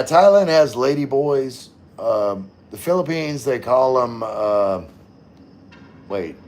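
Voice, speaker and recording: A middle-aged man with a mid-range voice talks at a leisurely, slow pace. He chats informally as a livestream host, reading comments and replying in a loose, rambling way. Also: mid-range voice, a little hiss or hum. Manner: rambling, informal, occasionally swearing. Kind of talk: casual livestream chat, reading and answering viewer comments.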